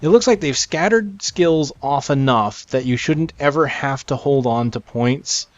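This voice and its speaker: A man's voice: medium pitch, measured pace, dry recording, highs cut off. Man rambling casually over gameplay. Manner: rambling, thinking aloud. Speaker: gamer presenting a game preview. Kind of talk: casual unscripted gameplay commentary. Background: game music.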